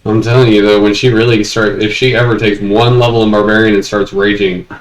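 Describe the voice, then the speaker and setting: A man's voice: on the low side, level tone, a little fast, slight background noise. A man playing in a tabletop game who also runs games himself, talking eagerly and with lots of energy. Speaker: player, also a game master. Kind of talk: casual tabletop game chat. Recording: echoey room, far from mic.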